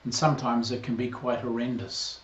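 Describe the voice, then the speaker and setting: Male, 60s, New Zealand accent, parish priest; measured, earnest welcome; mid pitch, roomy slightly muffled recording. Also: little pitch movement. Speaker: priest, incoming interim rector.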